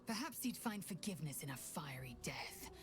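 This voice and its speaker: A woman's voice: low voice, big pitch swings, moderate pace, over music. A woman playing a proud, scornful alien warrior who speaks formally in a video game. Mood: stern, scornful. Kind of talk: video game character acting.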